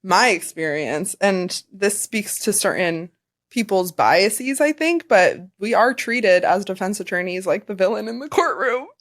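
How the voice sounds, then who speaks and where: A woman co-hosting a podcast in casual chat, agreeable and quick to laugh. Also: mid-range voice, lively intonation. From Florida. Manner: chatty, agreeable, laughs easily. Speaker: defense attorney co-host.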